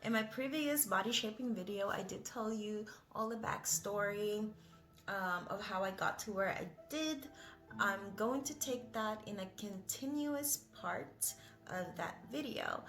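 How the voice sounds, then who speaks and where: A woman's voice: mid-range voice, a little slow, a bit bright, faint music behind. A young woman, not a native English speaker, talking in a casual, rambling vlog with many hesitant fillers. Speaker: vlogger.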